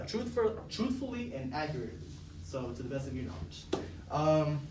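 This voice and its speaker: A young man moderating a student focus group, reading the rules with many ums and uhs. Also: on the high side. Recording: roomy, distant mic, noisy ambience.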